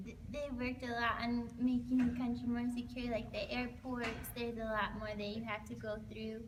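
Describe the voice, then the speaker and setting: Higher-voiced young woman, distant. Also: completely flat delivery, normal speed. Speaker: interviewee. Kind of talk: casual interview answers. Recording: distant mic with clear noise.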